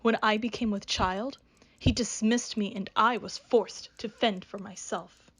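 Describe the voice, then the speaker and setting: A woman's voice: mid-range voice, steady tone, measured pace, husky. A woman voicing a whispering vengeful ghost. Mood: ominous. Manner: hushed, solemn, menacing. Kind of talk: radio drama acting.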